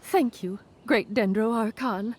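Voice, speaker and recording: A woman's voice: fairly high pitch, measured pace, a little hiss or hum, natural voice. A woman playing a courteous, dutiful academy clerk in a fantasy game, polite and deferential. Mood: polite, attentive.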